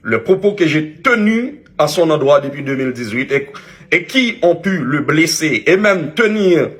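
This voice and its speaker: Haitian-accented man, earnest and halting. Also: fairly high pitch, expressive, fairly bright tone. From Haitian community, Canada. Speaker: online media commentator. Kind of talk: public apology video, partly read from a text.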